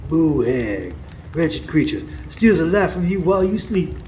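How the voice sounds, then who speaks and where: A man's voice: a little high, sing-song, unhurried, a little mellow. A man playing a wise, stern old folk healer in a live radio drama, terse and commanding as he instructs. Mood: grave, instructive. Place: stage before a live studio audience. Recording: live stage recording with background noise.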